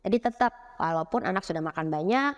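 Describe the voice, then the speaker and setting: Low-voiced Indonesian woman doctor explaining. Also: expressive. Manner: patient, measured explaining with fillers and restarts. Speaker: pediatrician guest. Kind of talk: explanatory health podcast talk.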